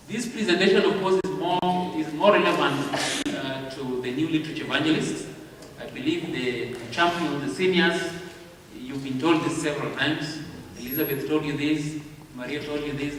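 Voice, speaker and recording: A man with a Kenyan accent giving a training talk at a convention, speaking slowly and deliberately and checking in with the audience. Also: fairly high pitch, a little hiss or hum. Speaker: publishing house representative. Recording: echoey hall recorded from far off.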